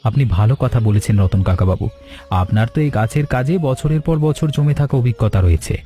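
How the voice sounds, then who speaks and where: A man's voice: medium pitch, animated, quick pace, warm tone, natural voice. A man playing an earnest, dutiful and caring village farmer in a cartoon, speaking respectfully. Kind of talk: cartoon dialogue acting. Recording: faint music under voice. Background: faint music.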